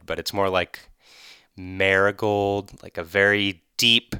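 Deep, bright-toned enthusiastic man. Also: little pitch movement, takes it slowly, clean tone, dry recording, clean studio sound. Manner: enthusiastic, conversational, frequent "like". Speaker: podcast guest. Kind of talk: casual storytelling.

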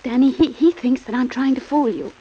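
An actress playing a scheming young woman begging her lover in a 1940s radio drama, pleading and breathy. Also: high voice, brisk. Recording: dull old radio recording.

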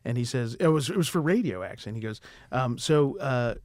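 A man from Washington, D.C., a guest actor and director, telling stories in a relaxed podcast chat and laughing often. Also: medium pitch, a little fast, no room sound, studio quality. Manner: laughs often, animated anecdotes. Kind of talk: casual storytelling chat.

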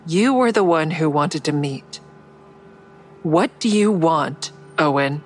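Low-voiced, guarded, sardonic heroine. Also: female voice, animated, slow pace, soft background music. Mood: defiant. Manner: dry, defiant, wry. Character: guarded, sardonic woman, crisis counselor with secret kills. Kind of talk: audio drama dialogue and first-person narration.

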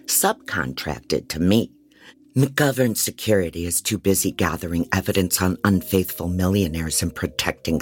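An actress playing a scattered, jaded woman private detective who narrates bluntly in a deep, husky voice, as in a noir audio drama. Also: a little mellow, full character voice. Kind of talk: first-person scripted noir narration.

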